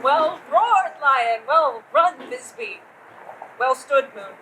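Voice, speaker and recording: A woman's voice: sits high, big pitch swings, very slow, very noisy, heavily acted. A woman voicing a nobleman who heckles the players with dry, teasing asides.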